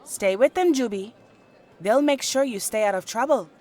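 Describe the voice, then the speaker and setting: A woman voicing a caring older sister, a young dancer, gentle, reassuring and affectionate, in an audio storybook. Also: on the high side, expressive, moderate pace, pitched above the performer's own voice.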